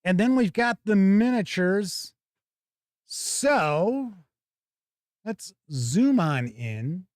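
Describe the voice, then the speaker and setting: Higher-voiced man, casual live unboxing commentary. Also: animated, drawn-out words, long pauses, a little mellow, dry recording, studio quality. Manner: chatty, thinking aloud. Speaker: host of a tabletop game review stream.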